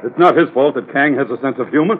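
An actor playing a casually cynical accomplice in a vintage radio crime drama, with an easygoing, needling politeness. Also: male voice, medium pitch, fast talker, lightly acted. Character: smooth-talking, casually cynical crook's accomplice. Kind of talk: 1940s-50s radio crime drama acting. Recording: narrow, slightly noisy old radio recording.

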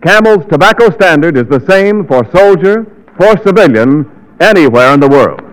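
Animated 1940s American radio announcer man. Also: a little high, normal speed, poor audio. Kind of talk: 1940s radio advertising narration.

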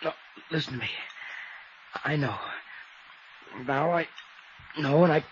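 A man's voice: a little high, takes it slowly. An actor playing a frightened young man who has been poisoned and struggles for breath in a 1950s radio drama. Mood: gasping, desperate. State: breathless, gasping. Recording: muffled, faintly noisy 1950s radio recording.

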